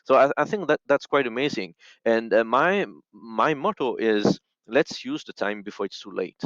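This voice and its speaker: Man, interview guest, thoughtful, measured, earnest, dry close sound, slightly lo-fi. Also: medium pitch, expressive, a bit bright, no room sound. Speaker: podcast guest, radio ministry worker. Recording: slightly dull, dry audio.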